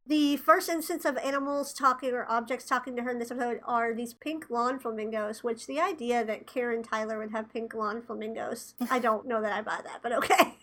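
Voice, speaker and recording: A woman's voice: a little high, steady tone, fairly quick, few pauses, a bit bright. A woman in her twenties, a podcast co-host, talking in casual chat, sounding nasal and congested from a cold. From Tennessee, USA. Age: 20s.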